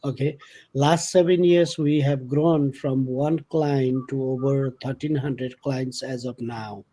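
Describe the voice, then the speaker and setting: A man talking casually on a podcast about his company, speaking in halting with many fillers and restarts. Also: mid-range voice, mellow, rough recording. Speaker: company vice president. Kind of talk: casual conversational podcast talk.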